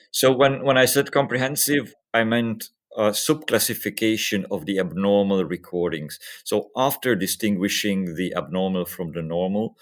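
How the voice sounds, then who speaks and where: Male physician researcher, deep register, explaining his work as an interview guest.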